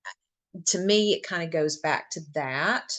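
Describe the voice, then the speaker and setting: Lower-voiced woman musing in casual chat. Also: expressive, unhurried, fairly bright tone. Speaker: co-host of a live poetry event.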